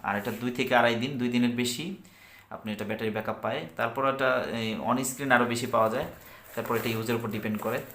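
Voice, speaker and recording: Bright-voiced Bangladeshi man reviewing products. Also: mid-range voice, steady tone. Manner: halting, repetitive, searching for words. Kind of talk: unscripted product review and sales pitch. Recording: echoey room.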